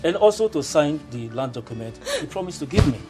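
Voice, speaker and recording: Actor as a hard-hearted uncle, fast-talking and a little high. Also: male voice, big pitch swings, over music. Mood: dismissive. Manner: curt, dismissive. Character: cold, stubborn middle-aged uncle.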